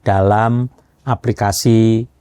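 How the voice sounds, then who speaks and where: A man's voice: lowish voice, steady tone, slow pace, dark tone, clean tone, dry recording. A man hosting a casual interview, recapping and prompting in colloquial speech. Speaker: host interviewer.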